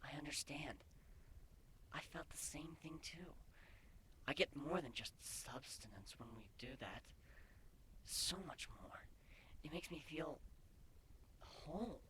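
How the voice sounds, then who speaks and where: Man as young female changeling pony, storybook reading, breathy, tender, teasing, lively intonation, noisy background. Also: a little high, takes it slowly, pauses often, pitched above the performer's own voice, heavily acted. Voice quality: soft, breathy, half-whispered. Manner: gentle, teasing.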